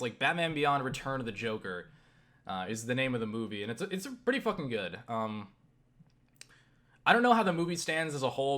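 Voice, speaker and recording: Bright, forceful man rambling casually. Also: mid-range voice. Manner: halting, self-interrupting, circling back with repeated "you know"; casually profane. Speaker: YouTube vlogger. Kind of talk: rambling casual vlog monologue.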